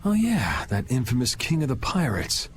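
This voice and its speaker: Wry young swordsman, lively inflection. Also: mid-range voice, normal speed, a little hiss or hum. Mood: cool, curious. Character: cool, independent young male swordsman, wry rebel. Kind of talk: video game voice acting.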